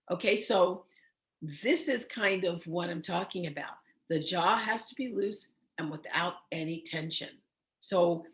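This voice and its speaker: A woman voice teacher with a slightly hoarse, froggy voice, giving an online singing lesson and checking in often with "okay?". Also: lowish voice, fairly bright tone. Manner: encouraging, checks in with frequent "okay?".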